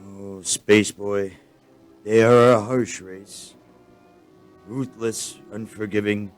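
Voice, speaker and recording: A man playing a humble, gloomy alien chancellor in a radio play, speaking in a formal, halting, resigned manner. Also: on the low side, fairly even intonation, dry recording, soft background music. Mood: courtly, resigned.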